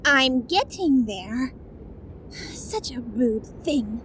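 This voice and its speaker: A woman's voice: high-pitched, animated, extremely slow, a bit bright, slight background noise, exaggerated voice. A woman voicing a tiny, polite female flower fairy in an audio roleplay drama, dainty and prim. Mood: prim, explaining.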